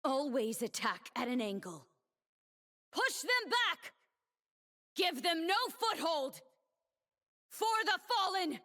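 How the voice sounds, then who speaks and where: An actress voicing a proud, resolute young warrior woman in a video game, solemn and commanding, with measured delivery. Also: sits high, big pitch swings, bright, forceful tone, exaggerated voice. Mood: defiant, commanding. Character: proud, resolute young woman warrior, blade dancer defending her homeland. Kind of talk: game champion voice lines and trailer narration. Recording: reverberant game audio over music.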